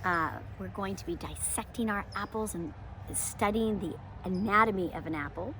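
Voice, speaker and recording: A woman presenting a children's lesson and picture book read-aloud as a homeschool teacher, with expressive, emphatic delivery aimed at young children. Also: medium pitch, normal speed, slight background noise.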